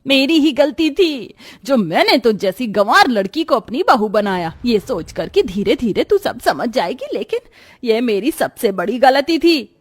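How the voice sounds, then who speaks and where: A woman's voice: fairly high pitch, sing-song, unhurried, husky, full character voice. A woman voicing a bossy, scolding middle-aged mother-in-law in a dramatized moral story.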